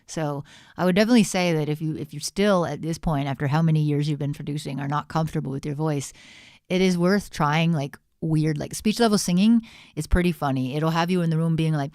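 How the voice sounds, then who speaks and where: Low-voiced woman in casual feedback chat. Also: brisk, a little mellow. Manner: candid, encouraging, slangy. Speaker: music producer giving feedback on livestream.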